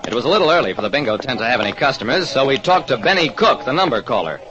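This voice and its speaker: An actor playing a terse American private detective, narrating an old radio crime drama. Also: male voice, medium pitch, very animated, racing, lightly acted. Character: wry, quick-thinking American private detective. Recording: dull vintage radio recording, music behind.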